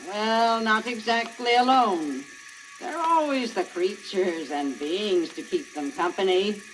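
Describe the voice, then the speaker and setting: A woman's voice: mid-range voice, animated, measured pace, lightly acted. A woman acts out a selfish, scheming woodcutter's wife for a children's storybook record. She is theatrical and full of scorn, hammering her points home. The old recording is slightly noisy. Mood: cold scheming.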